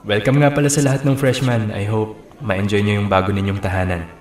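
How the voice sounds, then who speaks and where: A man's voice: lowish voice, fairly even intonation, a little fast, natural voice. A man playing a modest, easygoing male college student leader in a radio drama, reserved and lightly teasing. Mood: shy, formal. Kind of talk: Filipino radio drama acting. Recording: roomy echo, music underneath.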